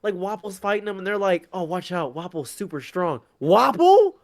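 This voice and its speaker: A man co-hosting a casual review chat, excitable and rambling, laughing often. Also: sits high, moderate pace. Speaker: co-host.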